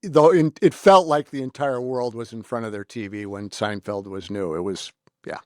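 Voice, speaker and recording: A man's voice: medium pitch, normal speed, no room sound, studio quality. An American man hosting a casual interview chat, talking in an informal, jokey way. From US Midwest. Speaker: podcast host.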